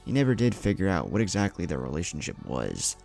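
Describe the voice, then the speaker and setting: A man's voice: deep voice, wildly swooping pitch, fast talker, gravelly, darkish tone, faint music behind. A man reading a scripted adventure story aloud as its narrator. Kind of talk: scripted narration of fan fiction.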